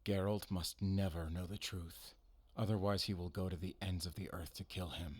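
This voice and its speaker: Deep, weary werewolf hunter played by a man. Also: fairly even intonation, moderate pace, slightly rough, dry recording. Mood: solemn, gentle. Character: weary, guarded veteran male werewolf hunter, stern yet gentle. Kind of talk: cinematic audio roleplay acting.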